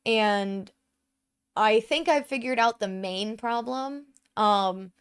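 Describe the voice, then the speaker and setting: A woman's voice: on the high side, slow pace, smooth. A woman giving casual gameplay commentary into a desk microphone at home, chatty and full of "um" and "like". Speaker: let's play gamer.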